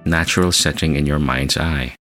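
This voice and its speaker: A man hosting a guided meditation, speaking in a measured, soothing way with long pauses between phrases. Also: very deep voice, fairly even intonation, fairly bright tone. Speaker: meditation podcast host. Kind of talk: slow guided meditation narration. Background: music bed.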